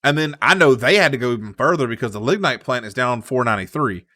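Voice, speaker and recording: A young man chats casually on a podcast, wandering through his stories with plenty of fillers and restarts. His tone is bright and forward. The sound is dry and close to the mic. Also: medium pitch, expressive, fast talker, no echo. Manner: rambling storyteller, many fillers and restarts. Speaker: podcast co-host. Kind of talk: casual chat.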